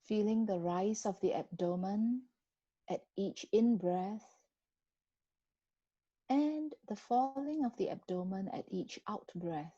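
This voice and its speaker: A woman guiding a body scan meditation in a gentle, unhurried manner with long pauses between phrases. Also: mid-range voice, dry recording, dull audio. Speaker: meditation guide.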